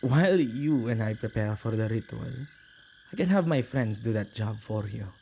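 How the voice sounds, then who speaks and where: A tender, protective male witch, acted by a man, speaks in a soft, intimate ASMR roleplay style. His voice is mellow and slightly halting, with gravelly fry. Some background ambience sits behind him. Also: lowish voice, lively intonation, a little hiss or hum. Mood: tender, calm. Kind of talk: ASMR boyfriend roleplay acting.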